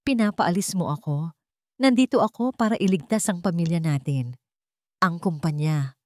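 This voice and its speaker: A woman's voice: on the low side, moderate pace, a little mellow, no room sound, studio quality, natural voice. A woman voicing an imperious, scolding, wealthy older mother in an audio drama, with a Filipino accent. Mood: indignant. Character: domineering, indignant rich older mother.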